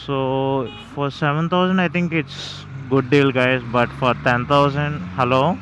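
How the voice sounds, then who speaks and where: A man with a Telugu Indian accent gives casual, unscripted vlog commentary at a medium pitch. He speaks haltingly and often repeats his phrases. Faint outdoor and vehicle noise sits behind his voice. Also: fairly even intonation, fairly bright tone. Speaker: motovlogger reviewing gear.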